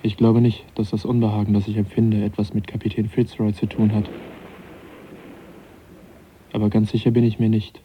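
Man playing uneasy young gentleman scientist, low sober voice, radio play, bit dull and hissy. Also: monotone, normal speed, long pauses, lightly acted. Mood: brooding, uneasy. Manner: sober, formal, dry. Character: reserved, uneasy young gentleman naturalist, sceptical and squeamish. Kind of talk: German radio drama acting, narration and dialogue. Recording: dull, noisy 1980s radio drama.

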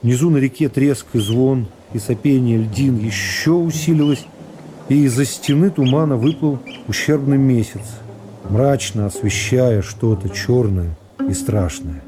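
A middle-aged man narrating a classic novel for radio in a measured, dramatic storytelling manner. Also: medium pitch, mellow, slight background noise. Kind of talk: literary narration in a radio play. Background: music.

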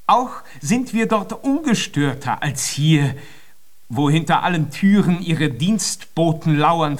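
An actor in a German radio drama playing an earnest, deferential young musician who speaks formally and courteously. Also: male voice, on the high side.

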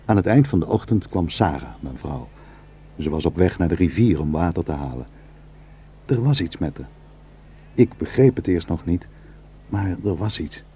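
An actor playing a simple Kurdish shepherd, narrating his memories reflectively with a husky voice in a radio drama. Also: deep voice, lively intonation, moderate pace, long pauses. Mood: nostalgic, reflective. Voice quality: husky, dark.

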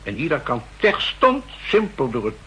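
An actor playing a fussy, insistent central-bank expert who explains pedantically, in 1960s radio drama style. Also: male voice, mid-range voice, huge pitch swings, moderate pace, sharp tone, breathy edge, heavily acted. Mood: urgent, emphatic. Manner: pedantic, emphatic, hesitating and repeating himself. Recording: noisy vintage 1960s radio recording.

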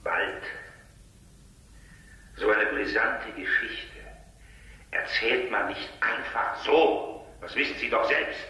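An actor playing a condescending, menacing interrogator in a 1970s radio drama. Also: male voice, on the low side, takes it slowly, pauses often, sharp tone, distant mic. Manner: dry, condescending, threatening. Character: smooth, menacing male interrogator. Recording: echoey room, voice off-mic.

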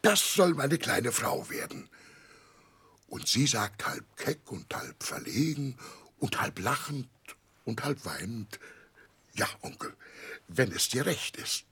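A simple old fisherman uncle for a radio play. The voice is very rough and husky, and swings widely in pitch. He tells his tale slowly and heartily, with proud, halting pauses. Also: lively intonation, a bit bright, full character voice. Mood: tender. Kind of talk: 1960s German radio play acting.

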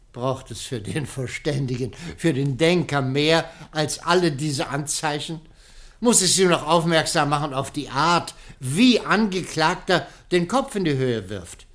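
A man playing a pompous public prosecutor in a radio play, pleading in court with declamatory speech and rhetorical pauses. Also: fairly high pitch, a bit bright.

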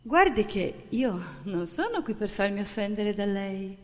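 A woman's voice: medium pitch, very animated, unhurried, long reverb tail. A woman on stage playing an earnest, hurt idealistic performer who speaks in a subdued, defensive way. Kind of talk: theatrical dialogue.

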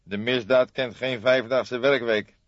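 A man's voice: medium pitch, fairly even intonation, measured pace, no room sound, highs cut off, pitched above the performer's own voice, natural voice. A man voicing a grey-haired old police detective in an audiobook, authoritative and emphatic, with dry humour. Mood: drily witty.

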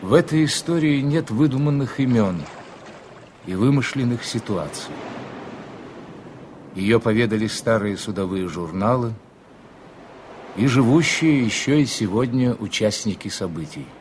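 A middle-aged man narrating an old radio drama in a measured, solemn manner. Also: medium pitch, animated, a little mellow, slight background noise.

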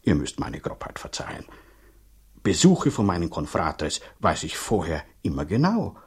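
An actor playing a middle-aged, gruff and suspicious village priest in a radio drama, husky, blunt and talkative. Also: male voice, low voice, wildly swooping pitch, moderate pace, heavily acted. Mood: apologetic, grumbling.

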